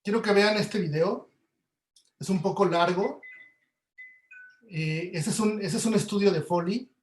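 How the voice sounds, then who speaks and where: A man giving an informal, halting lecture, explaining as he goes. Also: high voice. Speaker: audio producer giving a talk. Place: indoor room, online webinar. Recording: echoey room on webinar audio.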